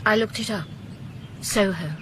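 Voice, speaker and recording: Casting: actress as a perceptive widow with a measured delivery, with birdsong and ambience behind. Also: female voice, medium pitch, a bit bright, a little hiss or hum, close to an everyday voice. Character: composed, perceptive middle-aged widow of a policeman. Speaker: policeman's widow. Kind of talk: radio drama acting.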